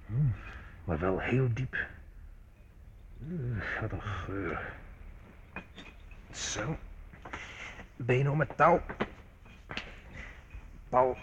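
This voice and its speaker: A man's voice: mid-range voice, expressive, drawn-out words, long pauses, a bit bright, dull audio, slight background noise. An actor playing an adventurous young nephew in a radio play, muttering to himself in short fragments as he explores. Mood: wry muttering.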